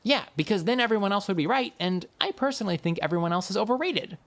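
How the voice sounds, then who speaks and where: A quirky young girl traveler , played by a woman reading a story, full of imagination and dry wit. Her banter is playful and inventive. The pitch moves around freely, with some fry underneath. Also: lively intonation, quick pace, natural voice. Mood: playfully defiant.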